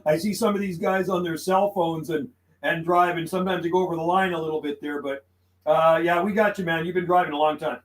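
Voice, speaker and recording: A man's voice: a little high, fairly even intonation, fairly quick, low-quality recording. A man chatting casually and making radio calls on a live stream, easygoing and friendly, often saying man and buddy. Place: radio room inside his house.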